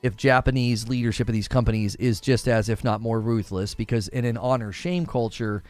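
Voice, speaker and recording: A man's voice: mid-range voice, fairly even intonation, a little fast, no echo, faint music behind. A man hosting a casual gaming news podcast, talkative and loose, repeating words as he thinks aloud. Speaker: podcast host.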